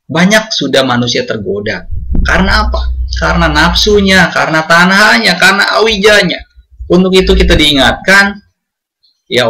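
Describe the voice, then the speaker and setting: A man's voice: medium pitch, pushed and bright. A man with an Indonesian accent preaching a Buddhist sermon, often addressing the congregation as he speaks. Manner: addresses congregation often, sings hymn lines slowly.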